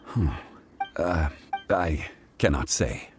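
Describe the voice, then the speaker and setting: A man voicing a calm, weary ancient god in human form, speaking formally and deliberately in measured video game dialogue. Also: low voice, music in the background. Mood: reserved.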